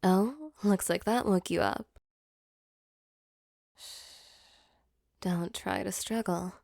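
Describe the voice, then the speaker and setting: A woman plays a female sleep paralysis demon in an intimate audio roleplay. Her voice is soft and mid-pitched with a noticeable fry. She is a teasing monster with a tender, apologetic side, heard up close in a very dry space. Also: takes it slowly, no echo. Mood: taunting, menacing.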